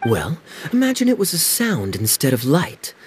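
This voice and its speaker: A man's voice: fairly high pitch, wildly swooping pitch, moderate pace, heavy background noise. A man playing a patient, playful young man in a video game, explaining things in a teasing way.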